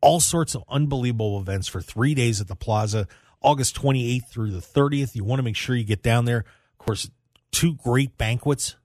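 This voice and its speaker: A man's voice: medium pitch, moderate pace, a little mellow, no echo, studio quality. A man hosting a radio show in a casual sports-talk style. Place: radio studio.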